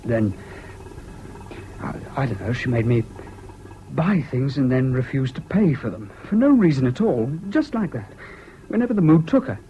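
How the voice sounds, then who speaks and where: An actor in a 1970s British radio drama playing a troubled, self-pitying jilted husband, halting and plaintive, on an old recording. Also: male voice, medium pitch, very animated, lightly acted. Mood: hurt, bewildered. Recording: dull, faintly noisy old radio recording.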